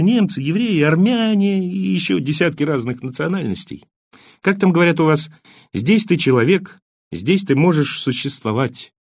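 A man's voice: fairly high pitch, a little mellow, no echo, pitched above the performer's own voice. A man voicing a worldly, wry émigré who hands out talkative advice in an emphatic, rhetorical way, in an audiobook.

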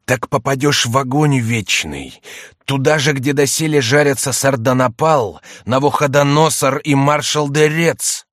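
A man's voice: mid-range voice, a bit bright, no room sound, studio quality, voice pushed up, close to an everyday voice. A man voicing a stern, mysterious heavenly accuser, solemn and threatening, in an audiobook. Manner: slow, menacing, solemn.

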